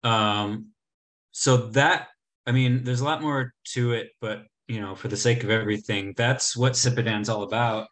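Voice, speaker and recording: A man's voice: mid-range voice, fairly even intonation, normal speed, a bit bright. A man in his thirties giving a casual travel slideshow talk over a video call, chatty, with many ums and restarts. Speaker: travel presenter. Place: indoor room, remote talk. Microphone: webcam video call.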